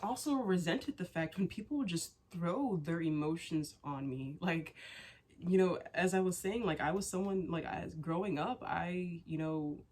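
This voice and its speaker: A woman's voice: fairly low pitch, moderate pace, a little mellow. A young woman chatting candidly to her viewers, stuffy and sniffling from a cold, rambling with lots of fillers and restarts. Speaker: vlogger. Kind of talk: casual chat to camera.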